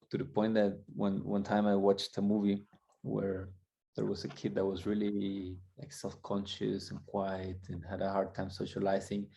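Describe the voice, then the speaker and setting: A man from Colombia, a guest coach, telling his story candidly and reflectively, halting and restarting as he goes. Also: deep voice, creaky, warm tone.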